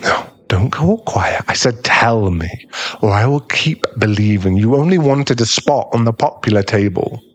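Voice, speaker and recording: A man playing a bitter, heartbroken young school bully in an audio roleplay, scornful and aggrieved, with a gruff protective streak. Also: fairly low pitch, animated, normal speed. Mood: resentful, demanding. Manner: aggrieved, swearing, scornful, dropping into breathy muttering. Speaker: ASMR roleplay voice actor. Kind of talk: ASMR boyfriend audio roleplay.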